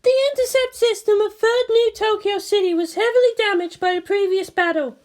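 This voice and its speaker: A woman voicing a brisk female operations director in a story, matter-of-fact and commanding. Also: very high voice, voice pushed up. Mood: businesslike.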